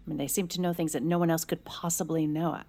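A caring, protective woman in an audio drama. Her voice sits a little low, and she speaks earnestly and naturally, with a cautioning, concerned manner. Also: fairly even intonation, fast, mellow. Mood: worried, urging. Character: worried, protective older-sister type, caring mother.

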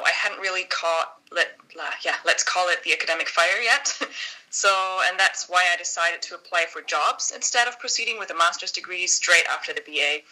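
A woman's voice: on the low side, pushed and bright, rough recording. A woman in her thirties from northern Germany with a German accent, a guest telling her story in a friendly podcast interview and laughing often. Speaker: guest academic.

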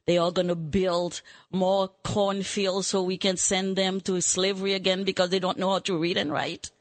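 A woman's voice: on the low side, little pitch movement, moderate pace. A woman, a studio guest speaking emphatically and indignantly. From Haiti. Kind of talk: casual conversation.

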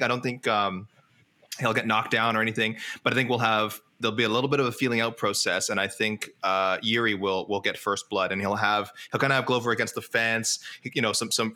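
Male panelist, quick and bright, rambling conversational sports analysis with frequent self-corrections. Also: fairly low pitch. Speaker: panelist on an MMA preview show. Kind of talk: casual sports discussion, fight prediction.

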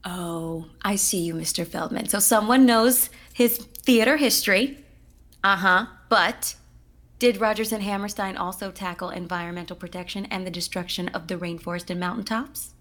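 A woman's voice: medium pitch, normal speed, a bit bright, close to an everyday voice. An actress playing an effusive, pretentious musical director addressing her cast in a scripted comedy. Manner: effusive, theatrical, preachy. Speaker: theater director.

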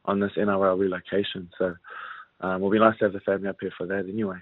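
A young man from New Zealand talks in an easygoing, halting way, full of ums and restarts. His voice sits a little low. It comes through a narrow, phone-like line with no room sound. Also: no echo. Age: young adult. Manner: relaxed, halting, many fillers and restarts. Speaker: rugby league player, guest. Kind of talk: casual interview chat.

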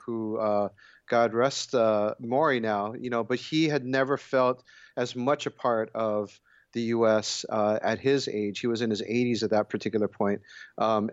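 A man commenting as a radio guest, speaking reflectively and haltingly. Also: medium pitch, dry recording, highs cut off. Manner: halting, many fillers and restarts, reflective. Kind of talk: radio panel discussion.

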